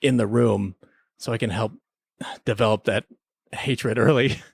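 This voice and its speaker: A man's voice: on the low side, fairly even intonation, a little slow, gravelly, breathy edge, no room sound. Casual man in a dry-sounding recording. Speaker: podcast co-host. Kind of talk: casual conversational TV episode review.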